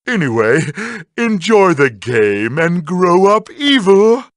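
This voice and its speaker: An actor playing a sardonic evil mad scientist villain in a game, with pompous, mocking, drawn-out theatrical delivery. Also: male voice, high voice, sing-song, no echo, studio quality, full character voice. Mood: gleefully sinister. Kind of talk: scripted comedic in-character monologue.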